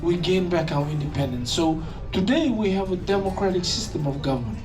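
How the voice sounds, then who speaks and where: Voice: medium pitch, lively intonation, fairly quick. A presenter from Fiji, joking with a live audience and then telling a story on stage. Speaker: cultural show presenter. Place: live show venue with audience. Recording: distant voice with music behind.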